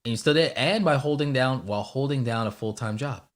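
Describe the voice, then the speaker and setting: A man's voice: mid-range voice, brisk. A man in his thirties hosting a live stream in casual chat, earnestly mentoring his guest and repeating points for emphasis. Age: 30s. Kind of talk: casual chat, giving advice. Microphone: video call.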